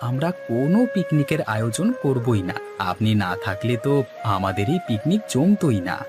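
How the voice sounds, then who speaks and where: A man's voice: medium pitch, big pitch swings, moderate pace, over music, close to an everyday voice. A man voicing a sociable, take-charge young village organiser in a cartoon, polite and instructing. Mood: friendly. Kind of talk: cartoon voice acting.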